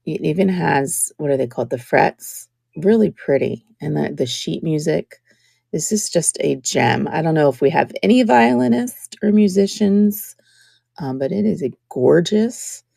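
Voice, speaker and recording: Slow, gravelly-voiced woman host. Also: low voice, no room sound, clean studio sound. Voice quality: scratchy. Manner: slow, chatty, drops to soft whispers while showing pieces. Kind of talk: casual live-stream selling chat.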